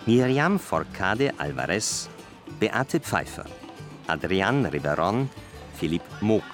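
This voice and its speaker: A man reading radio drama credits as a scripted, deliberate announcement. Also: fairly low pitch, big pitch swings. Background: music.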